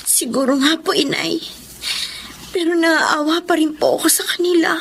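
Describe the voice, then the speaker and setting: A woman's voice: very high-pitched, normal speed, creaky, fairly bright tone, a little hiss or hum, exaggerated voice. A Filipino woman acting a timid, mistreated young servant girl, meek and deferential, in a radio drama. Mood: subdued, sad.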